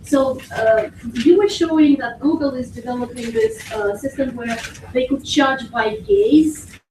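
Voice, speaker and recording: A woman's voice: sits high, unhurried, darkish tone. A woman in the audience asking a question politely and hesitantly. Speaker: audience member. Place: hotel conference hall. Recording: echoey hall from far off, faint noise.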